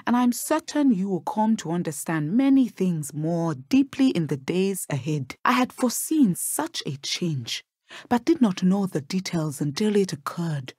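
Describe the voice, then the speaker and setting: A wise, dignified professor of divination in a fantasy video game, speaks. Her voice is husky and lively in pitch, recorded very dry. She phrases things formally and with care, like a protective middle-aged teacher. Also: no room sound. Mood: wise, calm. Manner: measured, formal, enunciated phrasing. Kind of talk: scripted game dialogue.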